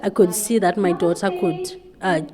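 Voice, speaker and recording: A woman's voice: fairly low pitch, moderate pace, a crowd in the background. A woman in her thirties from Zimbabwe, whose first language is Shona, answering radio interview questions in a hesitant, thoughtful way with many fillers. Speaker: guest. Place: community event.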